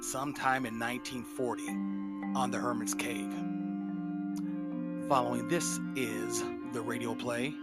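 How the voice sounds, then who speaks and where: A man's voice: mid-range voice, steady tone, a little slow, pauses often. A man informally introducing old radio plays as the host of a show. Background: loud music.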